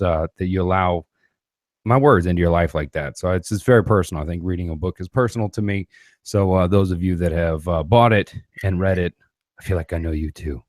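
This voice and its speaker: A man's voice: sits low, dry recording, studio quality. A man hosting a podcast, chatting loosely and rambling, often calling his guest "man". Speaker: podcast host. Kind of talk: casual interview chat.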